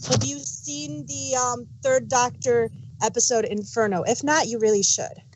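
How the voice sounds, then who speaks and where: Woman on a fan podcast, highish voice, casual chat, noisy mic with clicks. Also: steady tone, unhurried. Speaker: podcast panelist.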